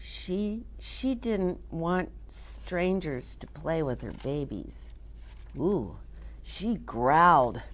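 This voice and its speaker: Female children's storyteller: unhurried, very expressive, wide swings in pitch, speaking directly to little ones with questions. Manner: animated, sing-song, addresses children with questions. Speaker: children's storyteller and show host. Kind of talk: read-aloud and chat for young children.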